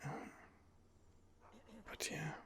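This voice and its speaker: A man narrating an ASMR game playthrough in a soft, hesitant half-whisper, thinking aloud as he goes. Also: very slow. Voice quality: soft, breathy half-whisper with vocal fry. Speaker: gamer role-playing a video game character.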